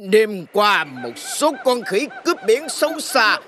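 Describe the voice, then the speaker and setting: A man voicing a distressed animal character in a children's cartoon, plaintive and forceful. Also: high voice, very animated, a little slow, slight background noise, exaggerated voice.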